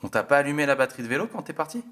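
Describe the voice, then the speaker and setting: A man's voice: a little high, sing-song, racing, fairly bright tone. A man playing a casual courier dispatcher in an audio story, talking in an offhand, colloquial way. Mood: surprised. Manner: offhand, colloquial spoken delivery. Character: casual upbeat male bike-courier dispatcher on the phone.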